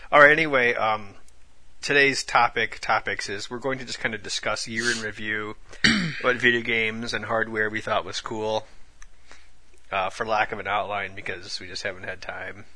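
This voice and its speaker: A man's voice: lowish voice, fairly even intonation, normal speed, sharp tone, poor audio. A man hosting a podcast in casual, jokey chat with playful asides. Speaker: podcast host.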